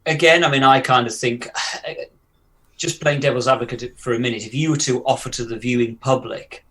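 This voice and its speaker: A man's voice: medium pitch, steady tone, normal speed, sharp tone. A man co-hosting a podcast. He floats ideas aloud, often restarting and repeating words. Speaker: podcast co-host. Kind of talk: casual podcast discussion.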